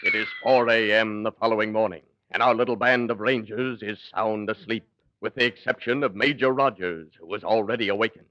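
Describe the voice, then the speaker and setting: A man's voice: on the low side, fairly even intonation, a little fast. Mock-dramatic male radio announcer, muffled old recording. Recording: dull, hissy 1940 radio recording.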